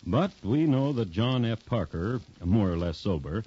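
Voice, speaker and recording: An actor playing a wry, deadpan true-crime host, narrating a scripted 1950s radio show with dry irony. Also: male voice, lowish voice, a little slow, husky. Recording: dull 1950s radio recording.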